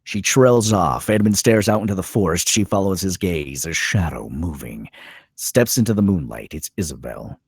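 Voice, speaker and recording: A man reading screenplay directions as a dramatic narrator, in clipped, suspenseful phrases with pauses for effect. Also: sits low, animated, vocal fry, slightly rough, dry recording, clean studio sound. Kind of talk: dramatic scripted narration at a table read.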